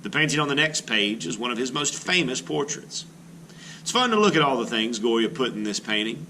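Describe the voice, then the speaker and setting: An art teacher reads a children's book aloud in a bright, crisp voice. He breaks in with his own asides for the class, and his pitch swings widely as he reads. A faint hiss sits under the recording. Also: a little fast, poor audio. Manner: expressive storybook reading with teacherly asides.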